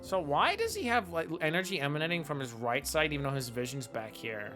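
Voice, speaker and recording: A man's voice: on the high side, big pitch swings, a little fast. A man in his twenties giving casual, slangy live game commentary in a reactive, jokey manner. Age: 20s. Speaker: game streamer. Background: faint game music.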